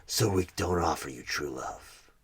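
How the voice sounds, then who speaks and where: A man playing a sinister, coaxing evil recruiter who bargains for souls in a creepy ASMR horror roleplay, husky and half-whispered, with deliberate dramatic pauses. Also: deep voice, exaggerated voice. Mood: persuasive, menacing. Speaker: audio roleplay performer.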